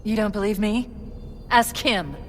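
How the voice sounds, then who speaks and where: A woman playing a sharp-tongued, defiant female artificial intelligence in a video game, sarcastic, biting and emphatic. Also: mid-range voice, unhurried, long reverb tail, soft background music, natural voice. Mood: angry, emphatic. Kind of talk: video game cutscene acting.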